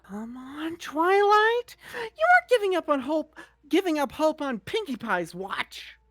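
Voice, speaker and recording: A woman voicing an excitable, hopeful young cartoon pony with an urgent manner. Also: sits high, unhurried, dry recording, clean studio sound, faint music behind, pitched above the performer's own voice. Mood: urgent pleading.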